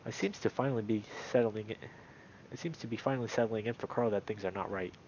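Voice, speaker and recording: A man streaming a visual novel, moving between read-aloud narration and casual, animated commentary. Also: fairly low pitch, quick pace, dull audio, a little hiss or hum.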